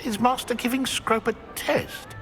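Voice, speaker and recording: A man's voice: very high voice, fairly even intonation, moderate pace, fairly bright tone, slight background noise. An actor voicing a small, servile house-elf in a video game, stammering anxiously and fawning over his master. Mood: nervous, fawning. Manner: stammering, obsequious, speaks of self in third person.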